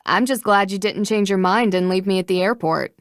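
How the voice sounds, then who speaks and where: An actress voicing a composed, inquisitive young American woman detective in an adventure game, politely probing and gently teasing. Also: medium pitch, a little fast, no echo, studio quality, lightly acted. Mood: light, curious. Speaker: video game protagonist, voice actress. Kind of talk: acted dialogue in an adventure game.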